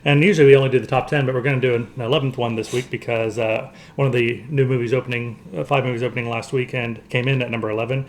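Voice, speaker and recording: A man's voice: medium pitch, steady tone, fast talker, hardly any pauses, slight background noise. A man co-hosting a podcast, chatting casually through a round-up of entertainment news. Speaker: podcast co-host. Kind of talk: casual box office round-up chat.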